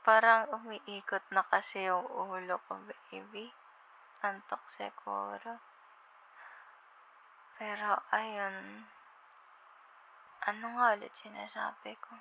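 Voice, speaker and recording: A woman acts out a drowsy, loving young girlfriend with a Filipino accent in an intimate ASMR roleplay. She speaks slowly in a flat, gravelly-edged murmur, tender and a little hesitant. The audio is somewhat dull. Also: mid-range voice, a little hiss or hum. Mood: drowsy, apologetic. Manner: drowsy, tender, hesitant, slips between Tagalog and English. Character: sleepy affectionate young girlfriend on a late-night phone call.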